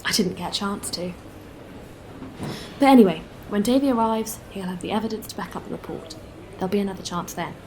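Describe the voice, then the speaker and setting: A woman's voice: medium pitch, fairly quick, a little mellow, slight background noise, close to an everyday voice, rough recording. A woman playing a candid, friendly spacefarer in a sci-fi audio drama, talking in a casual, conversational way. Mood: frustrated. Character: candid, weary but friendly female spacefarer.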